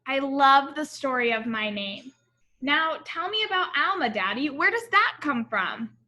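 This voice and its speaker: A woman voicing an eager, curious little girl in a storybook, earnest and expressive. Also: high-pitched, unhurried, heavily acted. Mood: eager, proud. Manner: earnest, expressive, addressing her father.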